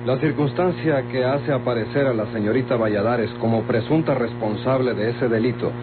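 An actor with a Mexican accent playing a calm, suspicious lawman, formal and pointed, in a vintage radio drama. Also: mid-range voice, normal speed, over music, close to an everyday voice. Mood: accusing. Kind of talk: Mexican golden-age radio drama acting. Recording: muffled vintage radio, loud hum and noise.

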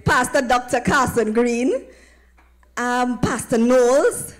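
A woman evangelist with a Trinidadian accent and a high-pitched voice addresses a church gathering. She speaks into a handheld microphone and keeps calling on listeners to answer back as she preaches. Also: slow pace. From Trinidad and Tobago. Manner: call-and-response, asking listeners to affirm. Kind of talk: preaching, welcoming a congregation. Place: tented church platform with draped backdrop.

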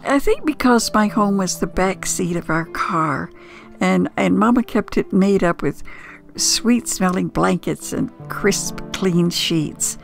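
Older American woman storyteller, creaky voice. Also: mid-range voice, animated, faint music behind. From United States. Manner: reflective, conversational, unhurried with pauses. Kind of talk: nostalgic first-person storytelling.

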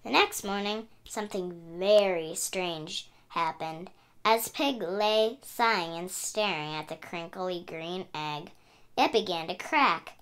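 A woman's voice: lowish voice, slow, fairly bright tone. A woman hosting a children's read-aloud, narrating expressively in a sing-song, emphatic way. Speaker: story reader and channel host.

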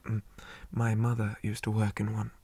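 A man playing a shy, old-fashioned zombie boy on a date in an ASMR roleplay, speaking softly and breathily, often near a whisper, with hesitant stammers and formal wording. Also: lowish voice, monotone, moderate pace, slightly rough, no room sound. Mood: timid wonder. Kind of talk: ASMR roleplay, soft half-whispered talk.

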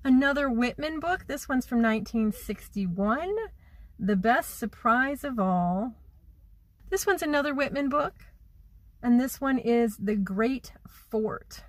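A woman's voice: on the high side, expressive, slow, no room sound. A woman in her forties chatting as she shops for vintage items, half-murmuring prices to herself, filmed on a phone held at arm's length. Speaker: vintage reseller vlogger. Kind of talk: casual shop-with-me narration. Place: antique mall, then parked car. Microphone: phone camera at arm's length.

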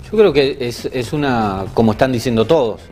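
A man, a guest on a studio panel, thinking aloud with halting restarts. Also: medium pitch, animated, darkish tone, faint music behind. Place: TV studio set.

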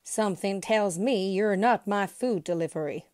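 A woman's voice: mid-range voice, moderate pace, a little mellow, no room sound, natural voice. A woman playing a blunt, wry elderly secretary in an audio play, with a dry, offhand manner.

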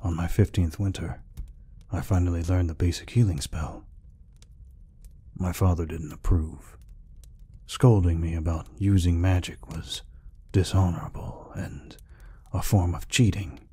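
A man voicing a gentle, peaceful orc healer in an ASMR roleplay, speaking softly and reassuringly without hurry. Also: steady tone, no room sound, exaggerated voice. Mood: reflective, calm. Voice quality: deep, husky, breathy. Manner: soft, unhurried, reassuring. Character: gentle, peaceful male orc healer, soft-hearted caretaker.

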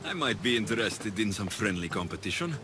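Male actor, young Greek mercenary, confident, caring, animated intonation, video game drama, background music. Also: fairly low pitch, a little fast, close to an everyday voice. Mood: teasing. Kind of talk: video game cutscene acting.

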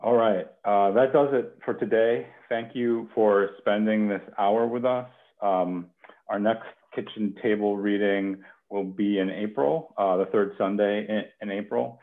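A man's voice: lowish voice, steady tone, measured pace. A man in his thirties hosting a poetry reading from home over a headset on a video call. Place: home kitchen. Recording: muffled phone-like video call.

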